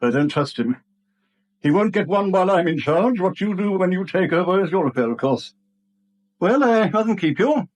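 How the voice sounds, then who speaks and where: An actor playing a testy, self-important English acting bank manager in a 1970s radio drama, brusque and huffy. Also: male voice, sits high, lively intonation, normal speed. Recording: dull vintage radio recording.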